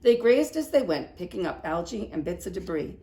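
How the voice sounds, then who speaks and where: A woman preschool teacher reading a storybook aloud in an expressive way, with little explanatory asides to young children. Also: lowish voice, brisk.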